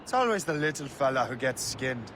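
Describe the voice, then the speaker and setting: A male actor plays a young Irish sea captain in a game cutscene. He is wry and brooding, a man torn by his conscience. Clear ambient noise of a ship outdoors sits behind the voice. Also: medium pitch, sing-song, brisk, close to an everyday voice. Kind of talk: video game voice acting.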